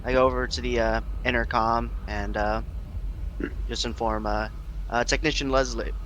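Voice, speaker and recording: A man playing a tabletop role-playing game, talking casually and haltingly as he voices his character's actions. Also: mid-range voice, steady tone, fairly bright tone, a little hiss or hum.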